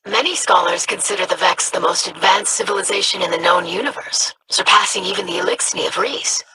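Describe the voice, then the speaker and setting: A woman's voice: medium pitch, brisk, noisy background, close to an everyday voice. A woman voicing a scholarly, idealistic young alien scribe in a video game, speaking formally and precisely. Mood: scholarly, fascinated. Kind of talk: video game character dialogue.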